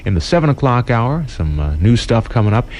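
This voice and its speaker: A man with an American accent working as a radio DJ, presenting smooth jazz and short information updates as morning host. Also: mid-range voice, sing-song, brisk, darkish tone, a little hiss or hum.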